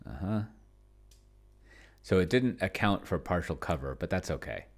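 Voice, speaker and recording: A man's voice: deep voice, animated, normal speed. A man giving casual think-aloud commentary on a game, musing to himself and trailing off. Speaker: solo tabletop game player and demonstrator.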